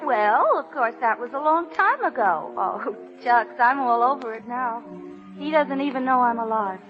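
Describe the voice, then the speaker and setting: Woman acting a high-voiced, shy young girl. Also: lively intonation, normal speed, lightly acted. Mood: wistful. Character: shy, timid, dreamy, naive young woman. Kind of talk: 1930s radio acting. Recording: muffled old radio recording with music.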